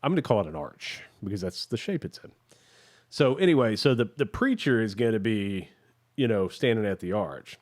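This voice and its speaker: Low-voiced man chatting casually. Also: sing-song, a little mellow. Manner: rambling, halting, searching for words. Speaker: podcast co-host. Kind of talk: casual chat.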